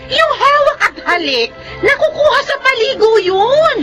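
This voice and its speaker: An actress playing a cruel, greedy aunt who scolds and threatens in a Filipino radio drama. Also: female voice, very high-pitched, very animated, measured pace, full character voice. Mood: scornful, menacing. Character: cruel, greedy aunt-guardian bullying a girl. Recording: music behind the voice.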